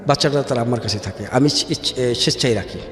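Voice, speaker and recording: Halting Bangladeshi man in heavy echo. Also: mid-range voice, fairly bright tone. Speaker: guest telling his life story. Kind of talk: personal storytelling in a radio interview. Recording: echoey hall-like reverb with music. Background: music.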